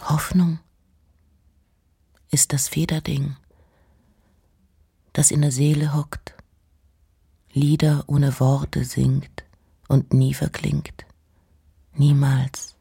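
Husky, breathy woman reciting slowly, low voice. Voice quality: husky, breathy, often near whisper. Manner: measured, hushed, deliberate. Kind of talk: slow literary recitation of poems and letters.

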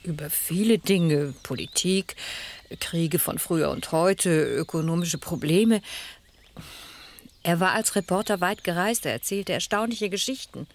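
Troubled, grieving lady: lower register, composed, reflective literary delivery, vintage radio drama. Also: a little slow, a little hiss or hum. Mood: pensive. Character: grieving, troubled lady spa guest and narrator. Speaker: actress in a radio crime drama. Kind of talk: 1970s German radio drama acting.

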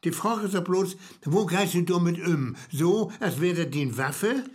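High-voiced, needling buddy. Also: male voice, a little slow, darkish tone. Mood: mocking. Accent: Northern German. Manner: teasing, blunt. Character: mocking, needling male buddy who teases his earnest friend. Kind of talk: conversational Low German radio comedy acting.

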